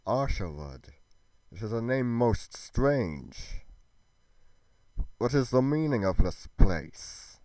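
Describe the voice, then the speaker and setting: Game roleplay ruler: man acting imperious and distrustful, low and quiet, very slow, formal archaic diction, dry close sound. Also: sing-song, no room sound. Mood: cold suspicion. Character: haughty, suspicious male ruler questioning his informant. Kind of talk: amateur game roleplay drama.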